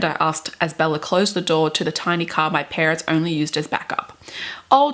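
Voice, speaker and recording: A woman's voice: lowish voice, fairly bright tone. A woman in her twenties giving dramatic readings of fan fiction with chatty, gushing asides, in a theatrical, giggly manner. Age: 20s. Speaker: book vlogger. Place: bedroom, indoors.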